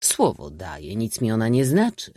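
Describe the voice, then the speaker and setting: A man's voice: medium pitch, huge pitch swings, moderate pace, dark tone, no room sound, clean studio sound. A man voicing an eager, awkward young aristocratic suitor who blurts and corrects himself, in an audiobook story. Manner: blurting, hesitant, self-correcting.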